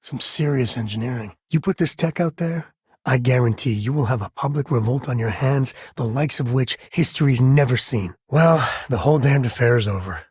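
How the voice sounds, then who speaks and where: An easygoing male space rogue and protective father from a video game. His mid-pitched voice is heavily husky and rough at the edges. He talks in a folksy, wry, casual way, recorded very dry. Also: moderate pace, darkish tone, dry recording. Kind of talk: video game character voice lines.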